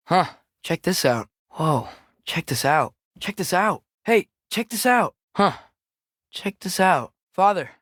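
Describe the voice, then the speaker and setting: A man's voice: fairly high pitch, dry recording, studio quality. A young male actor playing a curious, watchful teenage boy adventurer in a video game, giving short companion callouts.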